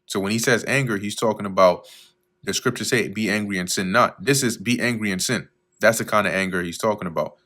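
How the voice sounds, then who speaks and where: Low-voiced man teaching Bible verses. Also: pushed and bright. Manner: reads scripture slowly, then explains casually with fillers; frequent throat clearing.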